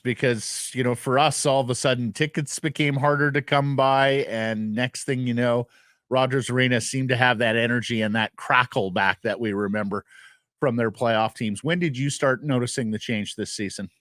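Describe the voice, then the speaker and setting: A man's voice: mid-range voice, fairly even intonation, moderate pace, fairly bright tone, no room sound, clean studio sound. A man hosting a sports talk show and putting questions to a guest conversationally. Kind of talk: radio interviewing.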